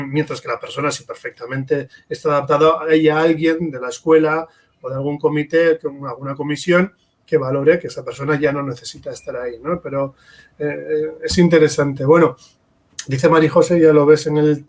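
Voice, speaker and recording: A man's voice: fairly high pitch, fairly even intonation, fairly quick. A man moderating an online conference session, reading questions and thanking people in a courteous, formal way.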